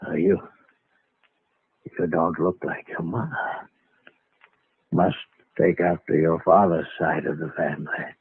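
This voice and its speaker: An actor playing a weak, remorseful old grandfather in a vintage radio drama, speaking haltingly in a frail voice. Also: sits low, fairly even intonation, dry recording, full character voice. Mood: weak, searching. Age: older. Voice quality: frail, husky voice. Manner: halting, faltering, repeats words. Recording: muffled, tinny vintage radio recording.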